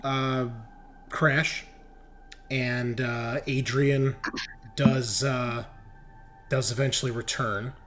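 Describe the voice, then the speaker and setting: A man's voice: mid-range voice, steady tone, extremely slow, pauses often, a bit bright, soft background music. A man running a tabletop roleplaying game, improvising descriptive narration and calling out rules. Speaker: game master.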